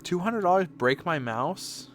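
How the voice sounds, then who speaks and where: Animated, high-pitched man chatting on stream. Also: measured pace, slight background noise. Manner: rambling, profane. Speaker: video game streamer. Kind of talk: casual livestream chat over gameplay.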